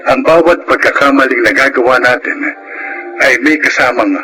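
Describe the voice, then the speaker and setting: Casting: male radio drama host, mid-pitched, scripted closing narration, music bed underneath. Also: normal speed, bright, forceful tone, poor audio.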